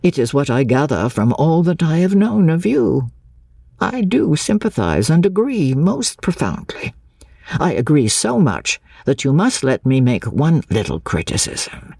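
Woman reading as a gentle, mellow English gentleman. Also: sits low, big pitch swings, creaky, husky, no echo, clean studio sound, full character voice. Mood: gentle, sympathetic. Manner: gentle, formal, measured. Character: courteous, measured, sympathetic English gentleman. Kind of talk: audiobook character dialogue.

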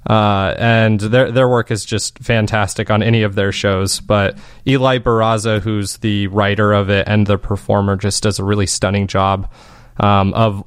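A man's voice: on the low side, dry recording, studio quality. A man talking casually as an interview guest, explaining things in a rambling, self-correcting way. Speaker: podcast creator interviewed as guest.

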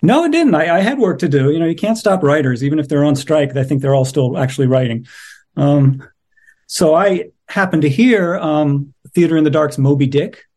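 A man's voice: on the high side, moderate pace, dark tone. A middle-aged man speaking as an interviewed playwright, casual, reflective and a little wry.

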